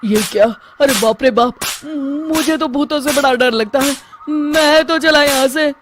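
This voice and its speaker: An actor playing a timid, nervous village man afraid of ghosts in an animated Hindi story. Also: extremely high pitch, measured pace. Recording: faint background noise.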